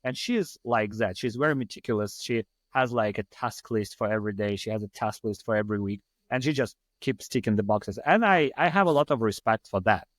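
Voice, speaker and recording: A man's voice: fairly low pitch, fairly quick, hardly any pauses, no echo. A man in his thirties from Ukraine with a Ukrainian accent, a podcast guest telling his story in a rambling, self-deprecating way. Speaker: podcast guest, startup founder. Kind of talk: casual storytelling in an interview.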